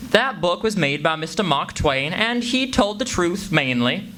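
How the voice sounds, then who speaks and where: An actor playing a plain-spoken, rough-edged country boy telling his tale in a folksy, ungrammatical drawl in a radio play. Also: high-pitched, lively intonation, measured pace, sharp tone, heavily acted. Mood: folksy, matter-of-fact. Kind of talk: radio play monologue before live audience.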